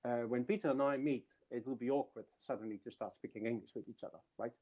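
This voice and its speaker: Man giving a mellow talk. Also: medium pitch, fast talker. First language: Dutch. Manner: explanatory, with frequent restarts. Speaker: university speaker. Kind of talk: webinar presentation.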